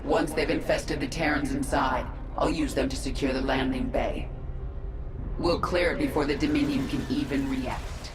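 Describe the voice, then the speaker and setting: A woman's voice: rumbling low, fairly even intonation, moderate pace, noisy background. A woman playing a vengeful, commanding queen of an alien swarm in a video game, imperious and decisive. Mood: calculating. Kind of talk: video game cinematic acting. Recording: echo effect, loud music behind.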